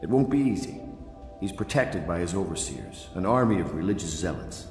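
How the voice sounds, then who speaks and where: A grave conspirator leader, echoing hall. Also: male voice, deep voice, very animated, a little mellow, faint music behind. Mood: firm, urging. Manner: deliberate, earnest, persuasive. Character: resolute, grave male leader of loyalist conspirators. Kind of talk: scripted video game briefing. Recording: echoey hall with long reverb.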